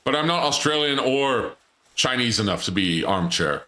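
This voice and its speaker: A man's voice: mid-range voice, animated, normal speed, bright, forceful tone, echoey room. A man streaming a game, chatting casually and joking while he reads chat aloud. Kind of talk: casual gameplay commentary.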